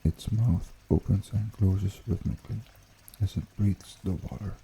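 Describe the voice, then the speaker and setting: A man hosting an ASMR guided meditation in a hushed, unhurried, soothing way with gentle pauses. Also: deep voice, fairly even intonation, slight background noise. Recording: water trickling behind the voice. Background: pond water bubbling and trickling.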